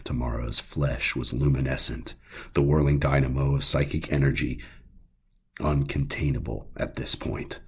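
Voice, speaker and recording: A man narrating a horror audiobook, reading scripted prose in a grave, dramatic and deliberate way. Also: very deep voice, steady tone, darkish tone, husky.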